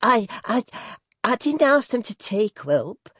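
A man's voice: extremely high pitch, very animated, slow pace, sharp tone, slightly rough, dry recording, clean studio sound, voice pushed up, exaggerated voice. A man voicing a meek, anxious wife in an audiobook, hesitant and stammering.

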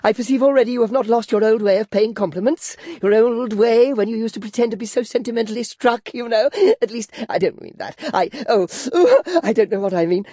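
A high, fluttery voice of a flustered middle-aged lady, as a male narrator puts her on, gushing along without a pause in a storybook reading. Her words tumble out fast and breathless under a faint husky edge, the tone dry and close. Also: dark tone, no room sound. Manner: breathless nonstop rambling, giggling, flustered. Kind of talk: audiobook character voice.

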